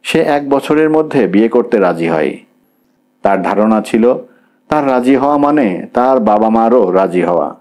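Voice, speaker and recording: A man's voice: on the low side, animated, unhurried, darkish tone. A man reading a scripted literary story as an audiobook narrator.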